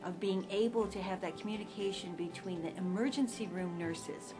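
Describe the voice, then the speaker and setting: A woman's voice: medium pitch, moderate pace. A woman in hospital management giving an explanatory testimonial interview. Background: music.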